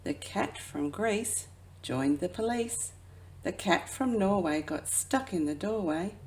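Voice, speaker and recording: A woman who works as a children's educator reads a picture book aloud expressively, drawing out phrases and dropping into hushed asides. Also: medium pitch, lively intonation, takes it slowly. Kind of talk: children's storybook read-aloud.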